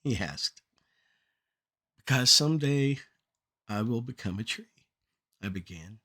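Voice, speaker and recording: A man's voice: medium pitch, lively intonation, slow, sharp tone. Middle-aged man reciting spoken-word poetry.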